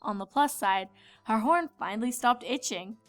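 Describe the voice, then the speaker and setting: A woman's voice: on the high side, normal speed. A woman reading scripted narration as a storyteller.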